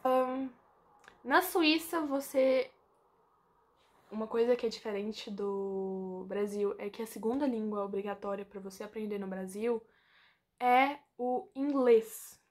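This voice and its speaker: A woman's voice: on the high side, a little slow, a little mellow. Chatty Brazilian woman vlogging casually. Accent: Brazilian Portuguese. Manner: chatty, informal, lots of fillers, reads from a script. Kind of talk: casual chat to camera.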